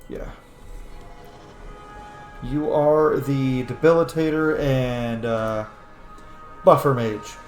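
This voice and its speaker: A man's voice: mid-range voice, very slow, pauses often. A man giving casual let's play commentary as a streamer, with rambling asides and playful exclamations at the game's characters. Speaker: gaming streamer and content creator. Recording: roomy echo under loud game music. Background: video game music.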